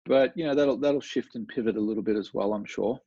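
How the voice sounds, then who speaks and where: Relaxed Australian man, dull dry sound. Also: medium pitch, fairly quick, breathy edge, no room sound. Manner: relaxed, rambling with many fillers and restarts. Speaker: golf coach, guest. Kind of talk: casual conversational interview.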